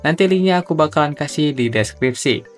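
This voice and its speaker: A man's voice: on the high side, animated, fairly quick. A young man giving a chatty, slangy, step-by-step tutorial voice-over. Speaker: tutorial host. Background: background music.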